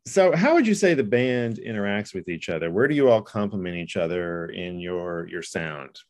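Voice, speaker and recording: Interviewer: man, lowish voice, measured, asks long questions. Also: animated.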